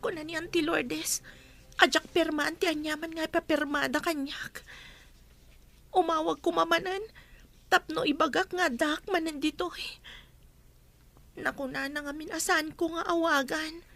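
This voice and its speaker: A woman's voice: high-pitched, fairly even intonation, moderate pace, creaky, pauses often, fairly bright tone. A woman playing a young captive niece in an audio drama, plaintive and earnest but stubborn. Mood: distressed.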